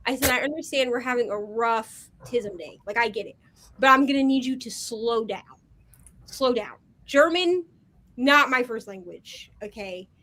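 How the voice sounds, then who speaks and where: A woman co-hosting a casual chat over a video call, an animated storyteller who fills her talk with "like.". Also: a little high, moderate pace, slight background noise. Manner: animated storyteller, heavy on "like" fillers. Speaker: co-host.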